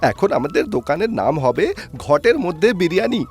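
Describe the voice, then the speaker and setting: A kindly, earnest cartoon food seller, poor but generous , acted by a man. His delivery is gentle, with a lot of melodic movement. Background music runs beneath the voice. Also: high-pitched, big pitch swings, moderate pace. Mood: hopeful. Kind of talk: cartoon story acting.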